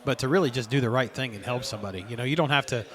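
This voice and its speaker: A man hosting a podcast, chatting in a relaxed, easygoing way about his own experiences. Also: medium pitch, rapid-fire. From North Carolina. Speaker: podcast host. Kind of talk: casual conversation.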